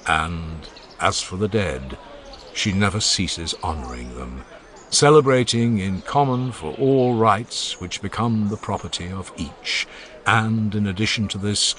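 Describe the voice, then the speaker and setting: Man reading a solemn old philosopher-orator for an audio drama, low and expressively varied. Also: a bit bright. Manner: solemn, declamatory oration. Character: older male philosopher, grave, eloquent orator. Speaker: Socrates.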